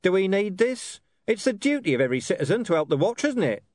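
A man voicing a stern, gruff watch commander who presses hard with his questions. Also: high-pitched, very animated, fast, a little mellow, no room sound, studio quality, voice pushed up.

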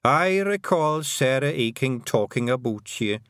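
A man's voice: mid-range voice, expressive, a little slow, a bit bright, clear, resonant tone, no room sound, studio quality, pitched above the performer's own voice. A man voicing a tiny, dying old fairy clan mother, bossy and shrewd, in a lilting rural brogue. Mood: commanding. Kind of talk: audiobook character voice.

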